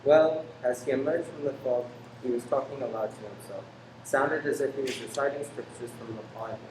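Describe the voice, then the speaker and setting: Court witness, played by a man, mid-pitched, formal and earnest, radio drama, echoey and noisy. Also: fairly quick, natural voice. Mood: grave, earnest. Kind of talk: radio play acting. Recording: echoey room, clear background noise.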